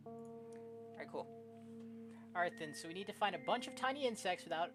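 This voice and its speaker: A woman's voice: fairly high pitch, moderate pace. A woman streaming a game, reading its dialogue aloud and adding playful, casual, sweary comments of her own. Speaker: gaming streamer. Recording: music behind the voice. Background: video game music.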